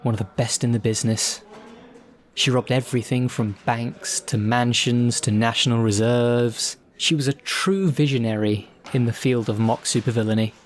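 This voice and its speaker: A man's voice: medium pitch, steady tone, normal speed, lightly acted. A man playing a chatty, self-deprecating supervillain for hire in an audio roleplay, rambling politely and hesitantly. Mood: wry, rambling. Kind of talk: audio roleplay, conversational in-character monologue.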